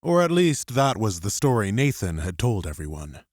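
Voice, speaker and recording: A man's voice: fairly low pitch, very animated, moderate pace, darkish tone, no echo, studio quality. A man reading dramatic scripted narration as an audiobook narrator. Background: music.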